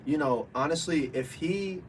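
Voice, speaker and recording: A man co-hosting a casual sports debate, agreeing often and weighing both sides calmly. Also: fairly high pitch, measured pace, far from the mic, a little hiss or hum, low-quality recording. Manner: agreeable, frequent backchannels. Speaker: co-host.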